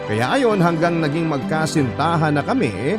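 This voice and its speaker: A man's voice: on the high side, sing-song, moderate pace. A man narrating a first-person story in a radio drama. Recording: loud music bed behind the voice.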